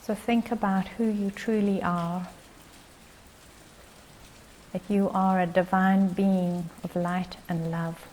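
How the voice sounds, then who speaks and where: A woman leading a guided meditation as its guide, speaking in gentle, short phrases with long pauses. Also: mid-range voice, little pitch movement, slight background noise.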